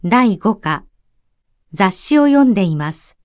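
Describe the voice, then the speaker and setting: A woman announcing lesson numbers and example sentences in a crisp, brisk way. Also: medium pitch, very animated, a little mellow, no room sound, studio quality.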